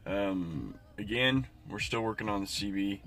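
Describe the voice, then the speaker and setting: A man's voice: on the low side, expressive, measured pace, a bit bright. A man hosting a casual, unscripted DIY car install walkthrough, explaining informally and in a rambling way. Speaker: DIY car video host. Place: in and around a car.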